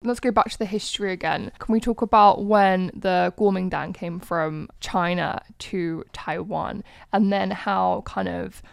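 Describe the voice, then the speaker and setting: A woman interviewer asking measured questions and murmuring as she listens. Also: on the low side, vocal fry, clean tone, soft background music.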